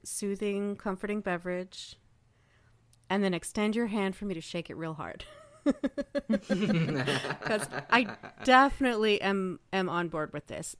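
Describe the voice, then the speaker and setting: A woman's voice: medium pitch, clear, resonant tone, no echo. A woman podcast guest chatting casually and enthusiastically, with emphatic phrasing and some swearing. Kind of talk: casual discussion of a fiction podcast.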